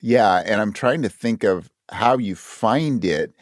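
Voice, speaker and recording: A man's voice: fairly low pitch, animated, slow pace, no echo, clean studio sound. A man talking casually as an interview guest, candid and self-questioning, often acting out his own thoughts. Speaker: interview guest, audio drama creator. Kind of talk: casual conversation.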